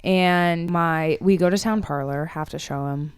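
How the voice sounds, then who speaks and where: A woman in her twenties co-hosting a podcast in casual chat, animated, swearing freely and acting out what others said. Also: lowish voice, unhurried, clear, resonant tone, dry recording. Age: 20s. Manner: animated, swears freely, laughs often, acts out quotes. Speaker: podcast co-host.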